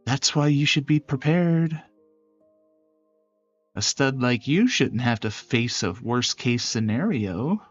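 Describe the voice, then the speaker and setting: A man's voice: medium pitch, expressive, slow pace, no room sound, highs cut off, soft background music. A man voicing a confident, bossy young female fight trainer in a visual novel, commanding and teasing. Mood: insistent.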